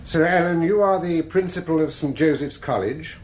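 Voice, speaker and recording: An actor playing a grave, fair-minded English prosecuting barrister, speaking with deliberate, formal persuasion in a radio drama courtroom. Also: male voice, fairly high pitch, a little mellow, lightly acted. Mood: formal, probing. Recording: echoey courtroom sound, faint noise.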